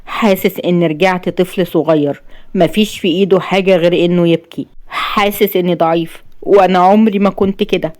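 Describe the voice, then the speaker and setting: A woman's voice: on the low side, steady tone, a little fast, a little mellow, close to an everyday voice. A woman with an Egyptian accent voicing a tormented, tender husband in an audio novel, earnest and sorrowful. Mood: anguished. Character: tormented, tender husband, once a powerful man.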